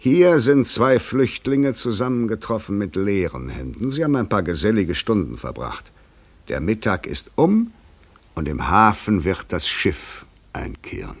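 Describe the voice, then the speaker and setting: An actor in a radio play playing a probing, insistent stranger who talks in a theatrical, poetic way, moving between soft and emphatic. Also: male voice, lowish voice, breathy edge, heavily acted. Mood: musing, pressing. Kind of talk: German radio play acting.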